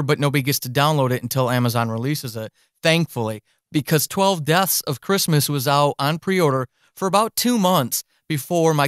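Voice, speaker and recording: Male podcast host, slightly high voice, very dry recording, casual and encouraging, talking straight to listeners. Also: a bit bright, dry recording. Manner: encouraging, addresses listeners directly. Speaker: podcast host and fiction author.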